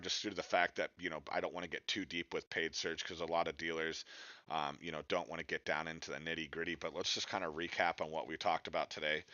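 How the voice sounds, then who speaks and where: A man with a low voice and a forceful, bright edge teaches an informal webinar. He speaks directly and conversationally, often tacking on quick check-in questions. The sound is dry and slightly muffled. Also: no room sound. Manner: conversational, direct, peppers points with tag questions. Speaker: webinar presenter, digital marketing instructor. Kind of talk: informal instructional webinar presentation.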